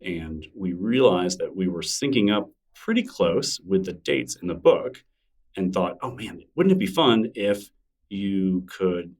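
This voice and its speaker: A man's voice: sits low, recorded from a distance, low-quality recording. A middle-aged man being interviewed as a podcast guest, talking casually and self-deprecatingly with many restarts. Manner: relaxed, rambling, self-deprecating with frequent restarts.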